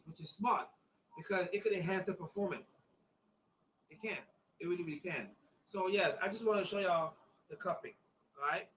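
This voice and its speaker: A man's voice: sits high, a bit bright, slight background noise, poor audio. A man of fifty, an acupuncturist, giving a casual online demonstration in a chatty, repetitive way and calling viewers y'all. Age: 50s. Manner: chatty, repeats himself, addresses viewers as y'all.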